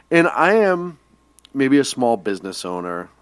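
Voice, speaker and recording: A man's voice: medium pitch, smooth, dry recording. A man co-hosting a radio talk show, teasing his partner and laughing often through political banter.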